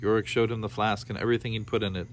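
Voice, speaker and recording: A man reading a storybook aloud with expressive narration. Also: lowish voice, very fast, a little hiss or hum. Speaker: storybook reader.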